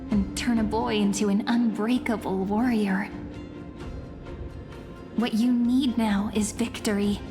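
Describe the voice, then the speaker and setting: A gentle, knowing young woman companion from a fantasy game. Her voice sits fairly high and she speaks slowly, with a teasing, cryptic edge. It rings in a long hall reverb over music. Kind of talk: dramatic game voice acting.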